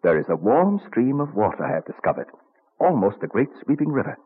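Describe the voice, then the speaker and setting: A man's voice: mid-range voice, big pitch swings, a little fast, husky. A man playing a genial, scholarly old statesman and inventor in an old-time radio drama, musing fondly and savouring his words. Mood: fond, proud. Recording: muffled vintage radio recording.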